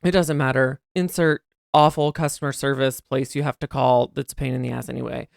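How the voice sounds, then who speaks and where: A man co-hosting a casual podcast chat, talking in a soft, breathy voice that listeners call soothing, telling stories animatedly. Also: medium pitch, brisk, no room sound, clean studio sound. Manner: chatty, animated storyteller. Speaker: podcast co-host.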